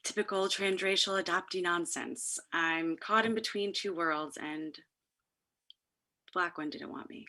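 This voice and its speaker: A woman's voice: fairly low pitch, unhurried, pushed and bright. An actress playing Gina, a nervous and polite young woman student born in Haiti who speaks in an apologetic tone. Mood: candid. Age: young adult. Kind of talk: live-streamed stage play reading. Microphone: video call.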